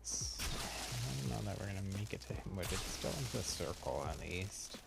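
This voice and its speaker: A man's voice: low-pitched, lively intonation, normal speed, creaky. A man doing casual live game commentary, thinking aloud in a mild-mannered way. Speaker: game livestreamer. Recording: loud game music behind the voice. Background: loud game music.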